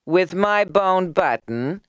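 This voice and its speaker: A woman's voice: on the low side, lively intonation, takes it slowly, clean tone, no room sound, voice pushed up. A woman voicing a grumpy, sarcastic male tailor in a storybook tale, with a scoffing manner. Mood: scornful. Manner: scoffing, rhetorical questioning.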